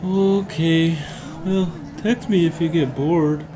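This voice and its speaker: A man's voice: a little high, steady tone, slow pace, heavy background noise. A man playing an awkward young college friend with a crush, speaking eagerly and hesitantly in an audio drama. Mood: let down.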